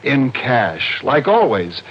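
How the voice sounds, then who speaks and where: A man's voice: medium pitch, very animated, slow, fairly bright tone, a little hiss or hum. An American actor playing an affable, slightly exasperated insurance man with a chummy, slangy manner in an old-time radio drama. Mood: explaining, exasperated. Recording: muffled vintage radio recording.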